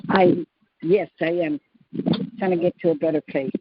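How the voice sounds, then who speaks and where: A woman's voice: on the low side, fairly even intonation, normal speed, slightly rough, no echo. A woman calling in by telephone, chatting in a casual, informal way. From Hawaii. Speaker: caller. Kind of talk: casual phone chat. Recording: dull lo-fi phone line.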